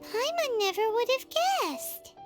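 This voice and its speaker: A woman voicing a tiny, excitable childlike fairy companion in a video game, squeaky and bubbly. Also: slow pace, music in the background, heavily acted. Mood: curious, surprised. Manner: bubbly, speaks of self in third person. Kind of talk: animated video game voice acting.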